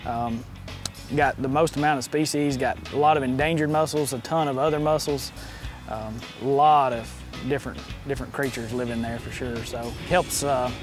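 A man's voice: mid-range voice, fairly even intonation, moderate pace. A man, talking as a game warden in an outdoor interview. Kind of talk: interview talk about outdoor work. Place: outdoors by a parked truck. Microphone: lapel mic. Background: music behind the voice.

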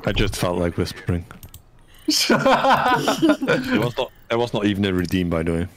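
A man chatting casually with friends while gaming, relaxed and soft-spoken, calling his own voice soothing. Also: fairly low pitch, normal speed. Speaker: streamer's guest.

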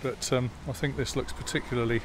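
A man giving a relaxed, hesitant walk-around commentary in an outdoor car park, filmed on a phone. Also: medium pitch, fairly quick, music in the background. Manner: relaxed, hesitant with many fillers. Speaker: classic car vlogger. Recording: outdoor phone video with clear background noise. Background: jet washer and outdoor noise.

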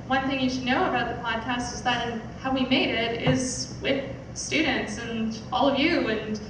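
A young woman giving an informal speech as host, casual, hesitant and a little self-deprecating. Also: fairly high pitch. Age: young adult. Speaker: podcast producer hosting launch event. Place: small theatre stage, indoors. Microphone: stand mic, picked up by distant camera. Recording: very echoey, noisy hall from far off.

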